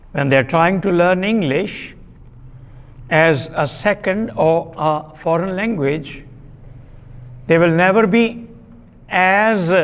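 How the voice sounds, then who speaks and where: A man giving a classroom lecture on literary theory, deliberate and repetitive, pausing with fillers. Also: high voice, long reverb tail. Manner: deliberate, repeats key terms, frequent uh fillers.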